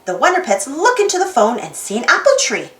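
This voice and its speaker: A woman narrates a children's storybook in a very high, highly animated voice. She reads expressively, with lots of melody, in a room that echoes. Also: fairly quick. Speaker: storybook reader.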